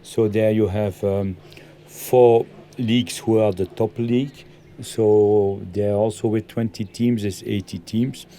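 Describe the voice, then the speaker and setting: A man from Belgium, a football coach, answering interview questions in halting full of ums and loose grammar. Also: on the low side, fairly even intonation, dark tone.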